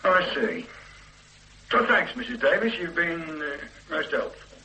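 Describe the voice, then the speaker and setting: An old-fashioned police sergeant in a vintage radio play. His voice is bright and fairly high, blunt and sardonic. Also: very animated, measured pace, reverberant, distant mic, full character voice, rough recording. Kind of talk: 1970s radio drama acting.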